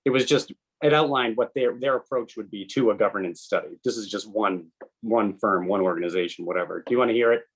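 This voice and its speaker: A man's voice: on the low side, quick pace, breathy edge, poor audio. A man on a committee, talking in loose, rambling asides and reading an email aloud at a meeting. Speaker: committee member. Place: public meeting room.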